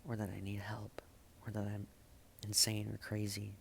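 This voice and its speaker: A man delivers slam poetry in a low voice that crackles with heavy vocal fry. The delivery is halting and deliberate, fairly flat in pitch, with long pauses and phrases that trail off into a hush. There is some background noise in the recording. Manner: halting, with dramatic pauses and hushed, breathy phrase endings. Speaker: slam poet. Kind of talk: spoken-word slam poetry, slow and repetitive.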